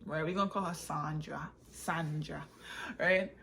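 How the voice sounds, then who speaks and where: A woman's voice: deep voice, fairly even intonation, a little slow, gravelly, a bit bright, a little hiss or hum. A woman telling a personal story casually, repeating phrases for emphasis and slipping into Patois. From born in Jamaica, raised in England and the United States. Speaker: vlogger and author telling her own story.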